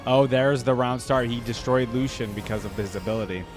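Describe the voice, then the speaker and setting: A man's voice: mid-range voice, a little fast. A man hosting a reaction video, rambling conversationally and musing aloud in casual commentary. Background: game music.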